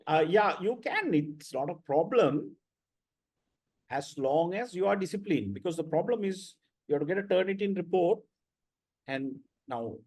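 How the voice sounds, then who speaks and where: High-voiced blunt male lecturer. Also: darkish tone. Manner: blunt, repetitive, asks "right?" a lot. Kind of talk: online class with students.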